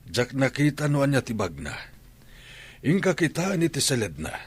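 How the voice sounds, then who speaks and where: A grumbling older father acted by a man in a radio drama. His voice is very raspy and moves up and down expressively as he worries and complains. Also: medium pitch, very animated, moderate pace, exaggerated voice. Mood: disapproving. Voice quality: husky, rough. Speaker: voice actor in an audio drama.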